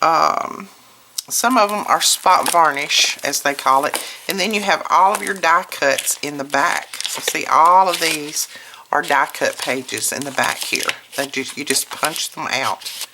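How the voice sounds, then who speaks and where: A hoarse woman recovering from illness, hosting a casual craft product showcase and chatting as she handles each item. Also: deep voice. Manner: unhurried, chatty, commenting piece by piece. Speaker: host of a craft product video. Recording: clear background noise, paper crinkling and clicks. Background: crinkling paper and packaging.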